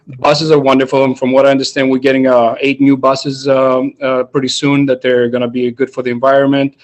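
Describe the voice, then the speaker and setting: A man's voice: medium pitch, monotone, brisk, low-quality recording. A man from Greece, giving a chatty answer full of fillers as a mayoral candidate on a video call.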